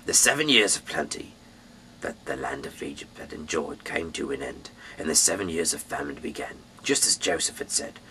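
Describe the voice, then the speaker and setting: A man from the UK reading scripture aloud in a dramatic, halting way, swinging between emphatic bursts and breathy, hushed delivery. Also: very deep voice, lively intonation, slight background noise, poor audio. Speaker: Bible reader, amateur narrator.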